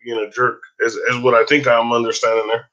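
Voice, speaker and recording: Halting, hoarse-voiced man on dull audio. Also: mid-range voice, little pitch movement, pushed and bright. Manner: rambling, halting with repeated restarts. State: losing his voice, dry throat. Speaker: podcast host. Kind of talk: casual podcast chat. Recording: dull lo-fi sound.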